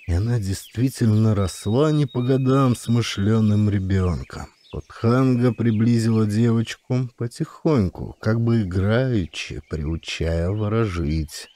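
A man's voice: lowish voice, mellow. A man narrating an adventure audiobook dramatically, with terse, suspenseful storytelling.

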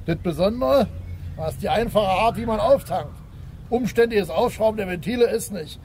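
An actor playing a chatty, proud station attendant being interviewed in a radio drama, over ambience. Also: male voice, sits high, expressive, moderate pace, darkish tone. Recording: ambient noise behind, on location.

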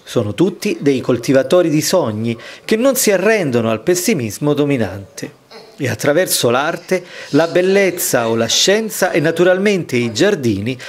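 A man's voice: on the high side, expressive, moderate pace. A man reading a scripted voice-over report as narrator. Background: music.

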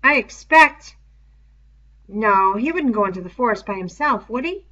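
A woman's voice: a little high, expressive, moderate pace, bright, forceful tone, voice pushed up. A woman voicing an anxious, eager schoolboy in a storybook reading, with ungrammatical, pleading speech, on a dull lo-fi recording. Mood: uneasy. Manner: ungrammatical, pleading tag questions.

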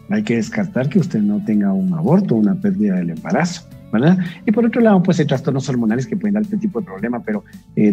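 Middle-aged guatemalan doctor guy, mellow voice, explains things calm and plain, webcam on a video call. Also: on the low side, dull audio. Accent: Guatemalan Spanish. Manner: calm, explanatory, asks rhetorical questions. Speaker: doctor answering sex education questions. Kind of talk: frank advice on a call-in show. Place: home room on a video call.

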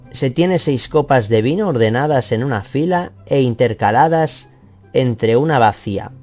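A man's voice: medium pitch, a little mellow. A young man who grew up speaking Catalan talks into a headset at his office desk, wandering off track as he reacts to comments. He runs himself down and swears offhandedly. Faint music plays underneath. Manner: rambling, self-deprecating, swears casually. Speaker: math youtuber. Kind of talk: reading and answering comments.

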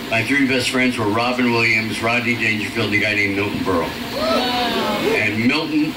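A man's voice: medium pitch, normal speed. An older American man doing crude, self-deprecating stand-up in a conversational storytelling style, through a stage microphone. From Philadelphia, United States. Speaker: stand-up comedian. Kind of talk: live stand-up comedy storytelling. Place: outdoor stage at a night pool party. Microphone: handheld mic through PA, filmed from audience. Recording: echoey distant stage PA, loud ambient noise.

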